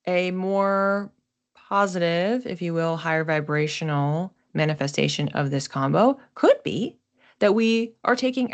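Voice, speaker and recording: A woman hosting an explanatory astrology talk in a conversational, thoughtful way, with expressive emphasis on key words. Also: fairly low pitch. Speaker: astrologer host.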